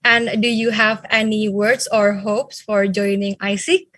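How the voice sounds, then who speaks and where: A woman's voice: medium pitch, steady tone, a little slow, fairly bright tone, no echo. A young woman from Indonesia co-hosting an online student event over a video call, friendly and a little hesitant. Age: young adult. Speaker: co-host.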